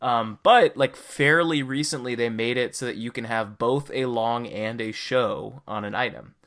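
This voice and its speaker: A man's voice: mid-range voice, moderate pace, fairly bright tone. A man co-hosting a podcast, chatting casually and earnestly about a game. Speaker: podcast co-host.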